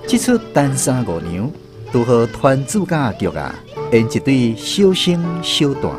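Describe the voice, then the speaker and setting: Taiwanese guy telling a story for radio drama, very animated delivery, music under him. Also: medium pitch, unhurried. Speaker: narrator.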